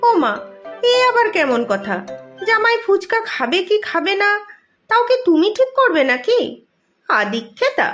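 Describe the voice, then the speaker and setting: A bossy cartoon mother acted by a woman scolds and gives orders in an extremely high-pitched voice. She is lively and exasperated, with faint music behind her. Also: a little slow, heavily acted. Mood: scolding. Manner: scolding, exclaiming, ordering others about. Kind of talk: cartoon voice acting.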